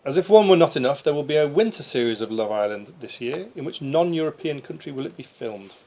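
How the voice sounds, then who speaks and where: A man's voice: a little high, expressive, quick pace, warm tone. A man reading out quiz questions and ruling on answers in a matter-of-fact way. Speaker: quizmaster. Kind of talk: reading pub-style quiz questions aloud, adjudicating. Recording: slightly noisy quiz room recording.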